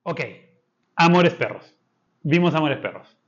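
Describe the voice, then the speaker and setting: A man's voice: a little high, expressive, a little slow. A man from Chile, co-hosting a podcast in casual chat. He thinks aloud with restarts and states his principles firmly. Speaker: podcast co-host. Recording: slightly dull lo-fi sound.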